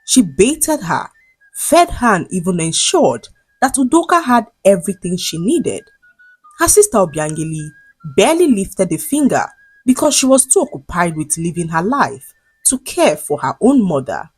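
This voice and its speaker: A woman narrating a scripted folktale as a storyteller, with dramatic, measured delivery. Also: mid-range voice, big pitch swings, darkish tone, breathy edge, no room sound, faint music behind.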